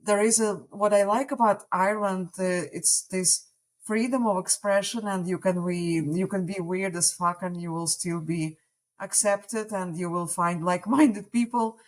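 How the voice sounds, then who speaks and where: Russian woman on a podcast, russian first language, thinks out loud, lots of uh and like. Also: mid-range voice, fairly even intonation, normal speed. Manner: reflective, hesitant, many fillers. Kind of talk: casual podcast chat.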